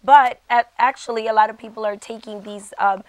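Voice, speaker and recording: Chatty giggly woman co-host, a bit hoarse, fairly high voice, dry studio sound. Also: fairly even intonation, brisk, no echo. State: slightly hoarse. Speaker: TV show co-host. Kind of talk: talk show chat.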